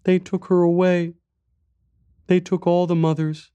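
A man voicing a small, lonely little boy in an audiobook, with soft, light, simple and plaintive speech. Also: high-pitched, level tone, measured pace, smooth, no room sound, highs cut off, voice pushed up. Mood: sad, scared. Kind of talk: audiobook character voice.

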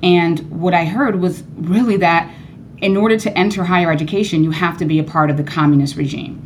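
A Cuban-born woman professor speaking earnestly as a radio interview guest, growing animated when she presses a point. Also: brisk, slight background noise. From Cuba. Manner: earnest, animated, rising high when emphatic.

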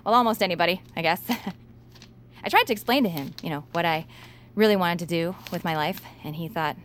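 A woman playing a nervous, apologetic, self-doubting young radio host in a scripted audio drama, speaking haltingly. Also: on the low side, a little hiss or hum, close to an everyday voice. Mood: flustered, apologetic.

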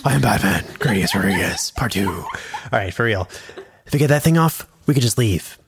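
A man's voice: on the low side, lively intonation, fairly quick, creaky, slight background noise. A man voice actor rambling and joking around between recording takes. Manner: rambling, jokey, self-mocking. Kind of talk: goofy chat between takes.

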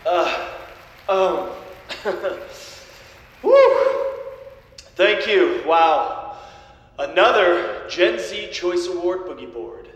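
Vain, showboating movie star, echoing hall. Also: male voice, high-pitched, very animated, very slow, long pauses, heavily acted. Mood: showy gratitude. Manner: rambling, showboating acceptance speech. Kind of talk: audio drama acting. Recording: very echoey large hall.

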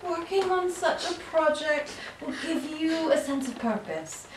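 Through noticeable noise and room echo comes a weary sister played by a woman with a fairly high voice. She is blunt and admonishing, with a protective firmness, in an audio drama. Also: expressive, unhurried. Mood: pleading concern. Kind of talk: audio play acting. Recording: roomy, distant mic, clear background noise.

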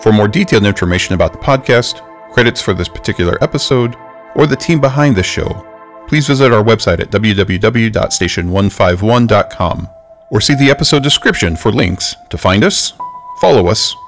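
Low-pitched man reading podcast credits. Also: animated, a little fast, faint music behind. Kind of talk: scripted outro narration.

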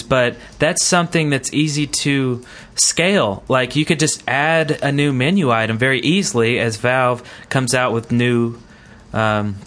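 A man's voice: mid-range voice, fairly bright tone. A man hosting a gaming podcast in casual, rambling, jokey chat. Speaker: podcast host.

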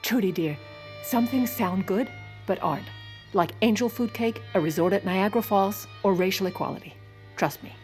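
A woman playing a shrewd, controlling 1910s First Lady in an audio drama, brisk, polished and sardonic. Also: mid-range voice, darkish tone, close to an everyday voice. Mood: condescending, brisk. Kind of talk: audio fiction acting with narrated asides. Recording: music behind the voice.

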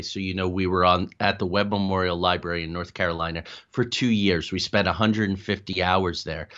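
A man's voice: on the low side, a bit bright. A man giving earnest, explanatory interview answers as a guest, with emphatic repeated phrasing. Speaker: interview guest, paranormal investigator and author. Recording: dull lo-fi call audio.

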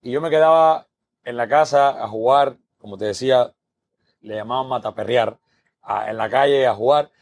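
A man's voice: medium pitch, moderate pace, gravelly. Earnest Cuban man telling his story. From Cuba. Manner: earnest, repeats words while building a point. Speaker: guest. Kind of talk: podcast interview, personal story.

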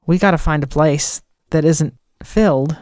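A man's voice: on the high side, measured pace, darkish tone, no room sound, clean studio sound. A man in casual podcast conversation, talking emphatically and with firm opinions as a producer. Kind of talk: casual chat.

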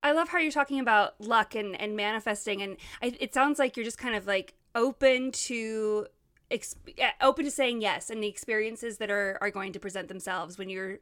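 A woman's voice: medium pitch, normal speed, fairly bright tone. A woman co-hosting an interview, upbeat and effusive. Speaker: co-host. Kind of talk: casual interview chat.

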